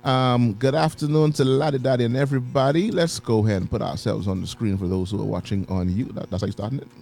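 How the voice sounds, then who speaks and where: A man's voice: mid-range voice, fairly even intonation, fast, vocal fry, a little mellow, faint music behind. A man hosting a live radio show, bantering casually and laughing often.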